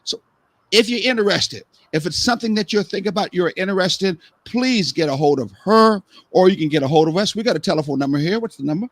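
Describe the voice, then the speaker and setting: A man's voice: high voice, lively intonation, fast talker, no room sound. A man hosting a live-stream talk show, speaking earnestly and rambling with repeated words. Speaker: host.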